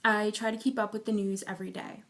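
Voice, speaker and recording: A woman in her early twenties explains her views in a measured, prepared way. Her voice sits at an ordinary middle pitch. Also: level tone, a bit bright. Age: 20s. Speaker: survey respondent. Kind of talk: prepared interview answers.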